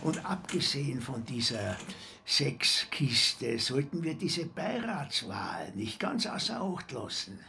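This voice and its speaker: An actor playing a mocking, bossy care-home resident with an Austrian accent who fancies himself a detective in a radio drama. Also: male voice, medium pitch, sing-song, slow pace, creaky, pushed and bright, slight background noise, heavily acted. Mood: conspiratorial. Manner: mocking, condescending. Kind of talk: naturalistic radio drama acting.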